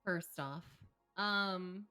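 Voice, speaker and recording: A woman therapist speaking as a guest and giving calm, measured advice on a live stream. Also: fairly low pitch, smooth, soft background music. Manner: slow, measured, reassuring explanations.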